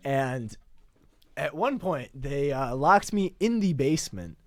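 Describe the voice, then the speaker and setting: A man playing a boastful immigrant telling rambling drunken stories on a comedic college radio bit, halting with many "uh"s. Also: mid-range voice, very animated, dry recording. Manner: halting, rambling delivery full of "uh"s.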